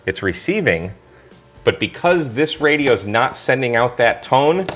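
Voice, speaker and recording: A man's voice: mid-range voice, big pitch swings, measured pace, a bit bright. A man talking informally through a product review, explaining things step by step in a casual way.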